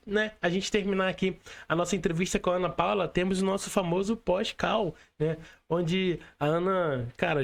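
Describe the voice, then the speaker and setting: A man with a Carioca Brazilian Portuguese accent hosts a casual podcast interview. His voice sits high and has a gravelly, fried texture, and he talks in a loose, slangy way, leaning on fillers like "né" and "mano". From Rio de Janeiro, Brazil. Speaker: podcast host. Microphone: remote call.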